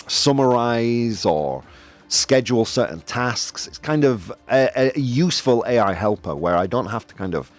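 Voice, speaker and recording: A man hosts a casual opinion show and talks in a rambling, self-correcting way with plenty of fillers. His pitch swings widely as he gets worked up. Faint music plays underneath. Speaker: podcast host. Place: Spain.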